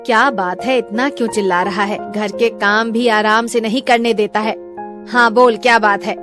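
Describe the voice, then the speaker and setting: A woman voicing a sharp-tongued, sarcastic middle-aged mother-in-law in a cartoon story. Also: medium pitch, fairly even intonation, moderate pace, over music, close to an everyday voice. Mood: scolding. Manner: scolding, dramatic, sarcastic. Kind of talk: cartoon voice acting.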